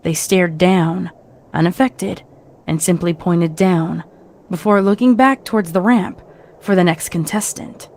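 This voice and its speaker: A woman's voice: fairly low pitch, a little slow, a little mellow. A woman reading scripted fiction as a narrator, in a dramatic storytelling style.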